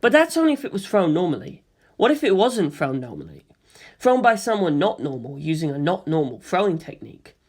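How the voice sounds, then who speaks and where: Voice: mid-range voice, huge pitch swings, brisk, darkish tone, husky. A narrator reading a first-person story expressively, in the manner of an audiobook.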